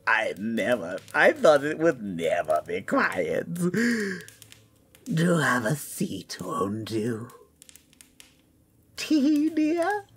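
A sly, theatrical fantasy witch for an audio roleplay. Her pitch swoops dramatically through slow, coaxing lines, and the tone is husky. She sounds genteel and knowing, like a hostess toying with a guest. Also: heavily acted. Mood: coyly hospitable. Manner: drawn-out, coaxing, calls listener dear.

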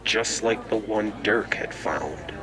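A man narrating a children's story in radio drama style, deliberately and dramatically. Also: lowish voice, a crowd in the background. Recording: noisy ambient sound effects behind.

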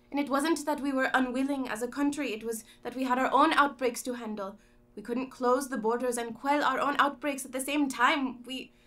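An actress playing a pilot's wife defensively giving testimony in a radio drama interview. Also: female voice, a little high, fairly bright tone, natural voice.

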